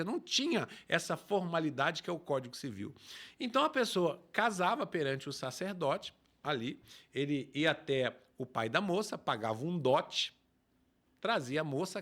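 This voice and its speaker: Lively emphatic pastor. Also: male voice, a little high, normal speed. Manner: emphatic, lively preacher explaining scripture. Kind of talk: preaching in a talk show.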